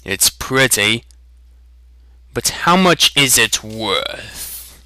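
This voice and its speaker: A surly village butcher from a fantasy storybook, acted out by a man. He is sneering and quick to anger, with a very forceful, sharp edge. His words come out clear and crisp. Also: medium pitch, animated, dry recording, full character voice. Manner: sneering, exaggerated patience turning to shouting.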